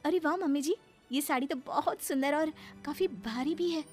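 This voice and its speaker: High-voiced polite young daughter-in-law played by a woman. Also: normal speed, faint music behind, lightly acted. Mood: pleased. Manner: polite, pleading. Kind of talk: Hindi moral-story cartoon dub.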